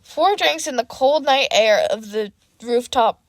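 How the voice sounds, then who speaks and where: Higher-pitched woman narrating a story. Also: lively intonation, a little slow, no room sound, studio quality. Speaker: audiobook narrator of fan fiction.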